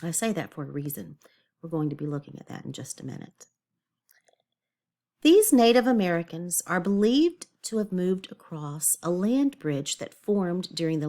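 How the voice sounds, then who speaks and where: A woman teacher reading a lesson aloud to children, stopping to explain words simply in an instructional way. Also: fairly low pitch. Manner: didactic, checks understanding, explains words simply.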